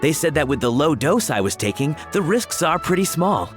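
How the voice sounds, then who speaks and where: A wry, relaxed man on the mend talks with a lot of rise and fall in his voice. Clear game music plays underneath. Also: on the high side, moderate pace. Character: wry man recovering from poisoning.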